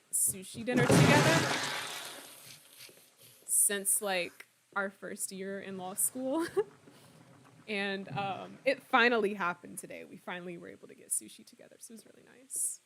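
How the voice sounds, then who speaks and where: A woman in her twenties, slightly hoarse, chatting casually and laughing often as she streams a game and answers her viewers. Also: medium pitch, background noise. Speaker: streamer playing a horror game. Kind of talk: casual live-stream chat, reading out viewer comments.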